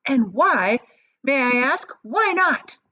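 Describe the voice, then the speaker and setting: A hysterical, bossy mother from a children's storybook. She shrieks high and indignant, with wild swoops. The recording is slightly dull. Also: big pitch swings, slow, pushed and bright, no echo, heavily acted. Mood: outraged.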